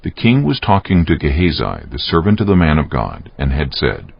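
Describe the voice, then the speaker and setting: Male narrator, dramatic scripture reading. Extremely low, animated delivery, slightly dull recording. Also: moderate pace, gravelly, breathy edge. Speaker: narrator of a dramatized Bible audiobook. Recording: dull, muffled audio.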